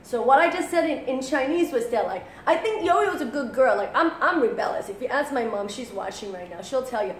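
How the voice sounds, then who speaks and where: A chatty woman voice teacher in her thirties runs a casual live stream from a living-room sofa. She switches between Mandarin and English and talks in an animated way. Her voice sits fairly high, and she is picked up by a distant webcam in an echoey room with faint background noise. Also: fairly quick, few pauses. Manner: chatty, animated, often translates herself and laughs. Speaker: voice teacher hosting a live show. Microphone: fixed webcam at a distance.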